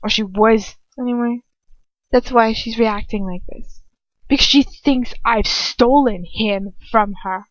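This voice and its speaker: A woman's voice: a little high, measured pace, no room sound, lo-fi sound, lightly acted. A woman voicing a defiant, desperate captive young witch, pleading and insistent, in an amateur storybook reading. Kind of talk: amateur fiction read-aloud.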